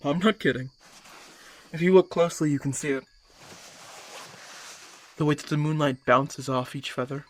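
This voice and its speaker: A man playing a calm, mysterious park ranger in an audio roleplay, speaking in a measured, hushed, reverent way. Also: on the high side, background noise, close to an everyday voice. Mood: quietly awed.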